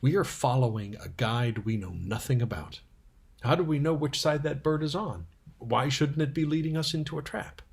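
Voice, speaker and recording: A sulky, suspicious schoolboy from a storybook, played by a male reader. He needles and argues like a conspirator, in a slightly husky voice. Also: mid-range voice, moderate pace. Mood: conspiratorial suspicion.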